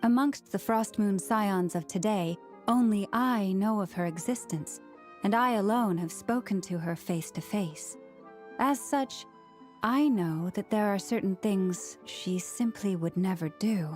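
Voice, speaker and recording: A woman plays a composed priestess and scholar in a video game. Her voice sits in a middle female register and is measured, precise and earnest. Light music runs behind her. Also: mid-range voice, a little mellow, natural voice. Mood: thoughtful. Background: background music.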